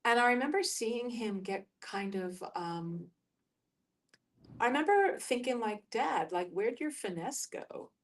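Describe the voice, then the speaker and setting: A middle-aged American woman from New York facilitating a group discussion, musing aloud and telling personal stories in halting phrases. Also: a little high, lively intonation, distant mic. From New York, United States.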